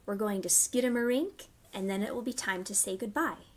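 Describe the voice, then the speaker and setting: A woman hosting a storytime for young children, reading stories and giving simple, clearly phrased instructions. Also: medium pitch, fairly quick. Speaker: children's library storytime host.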